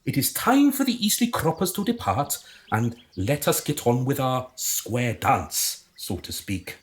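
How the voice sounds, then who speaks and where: A man's voice: mid-range voice, very animated, measured pace. A man acting in a radio drama as a smug, scheming leader with a grandiose, theatrical manner. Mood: grandiose scorn.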